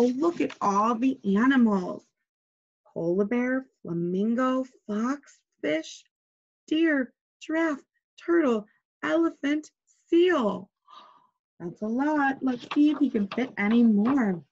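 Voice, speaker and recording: A woman's voice: on the high side, darkish tone. A young woman teacher reading a picture book aloud to children, expressively and prompting them with questions. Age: young adult. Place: small bedroom indoors. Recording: dull lo-fi webcam sound.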